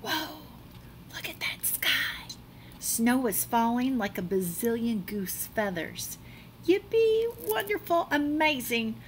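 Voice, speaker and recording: Animated, high-pitched woman reading a storybook. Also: slight background noise. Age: middle-aged. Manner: animated, dramatic delivery with drawn-out words. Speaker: teacher reading a picture book aloud. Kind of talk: expressive children's storybook read-aloud. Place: small classroom, indoors.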